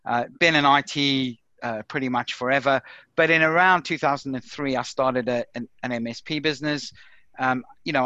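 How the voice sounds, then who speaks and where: A man's voice: mid-range voice, sharp tone, no room sound, lo-fi sound. A middle-aged man with a South African accent, a podcast guest talking casually with many fillers and restarts. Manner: lots of fillers and repeated words. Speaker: podcast guest, software consultant. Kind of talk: casual interview chat.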